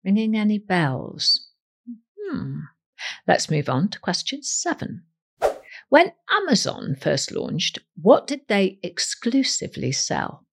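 A woman hosting a quiz in a scripted, playful style, speaking directly to listeners with a husky voice. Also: medium pitch, huge pitch swings, slow. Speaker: quiz show host.

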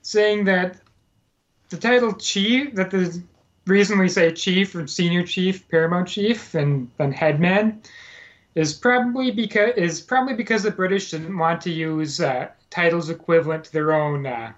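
A man's voice: high-pitched, moderate pace. Man recounting at length, dull audio. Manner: rambling, frequent fillers and self-corrections. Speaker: guest, former Peace Corps volunteer. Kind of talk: casual podcast conversation. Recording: dull lo-fi sound.

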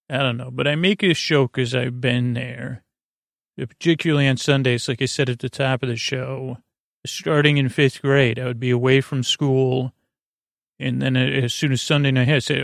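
A male podcast host with a very creaky, fry-laden voice at a middling pitch. He wanders through a bedtime monologue meant to send people to sleep, stopping and restarting with plenty of ums. The sound is close and dry, with no room around it. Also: dry recording. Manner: meandering, halting with many ums and repeated words. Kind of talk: rambling bedtime monologue to lull listeners to sleep.

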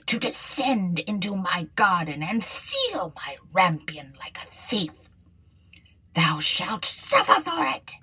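Woman voicing a breathy menacing enchantress. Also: medium pitch, big pitch swings, slow, sharp tone, full character voice. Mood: angry. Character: stern old female enchantress, menacing.

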